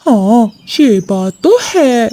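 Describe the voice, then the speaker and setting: A woman's voice: fairly high pitch, big pitch swings, extremely slow, mellow, dry recording, heavily acted. A woman voicing an easygoing, agreeable male crow husband in a cartoon.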